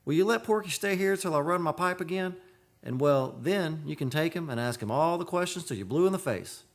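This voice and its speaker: A man acts as a practical, impatient boss of a drilling crew in a radio play. His voice is a little high and swings widely in pitch. He talks in brusque, slangy bursts, like someone used to giving orders. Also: animated, a little fast. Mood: reasoning. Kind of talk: radio drama acting.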